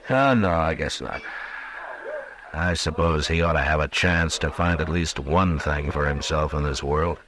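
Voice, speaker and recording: An actor playing a weary, steady frontier marshal in a radio western, laconic and measured. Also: male voice, very deep voice. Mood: downbeat, easygoing. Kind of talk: 1950s radio western acting. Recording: old radio broadcast, background chatter.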